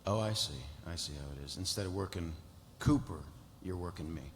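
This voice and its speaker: A man's voice: deep voice, a little fast, close to an everyday voice. A man playing an angry, foul-mouthed, demanding corporate client in a live script read, blunt and combative. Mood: sarcastic, combative. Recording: echoey hall, noisy room sound.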